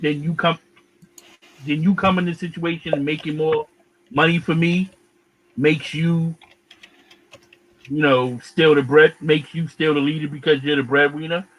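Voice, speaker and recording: A man with a fairly high voice argues his point casually. He talks colloquially and frames his case as challenging questions. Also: fairly even intonation, a little slow, long pauses, a bit bright, a little hiss or hum, poor audio.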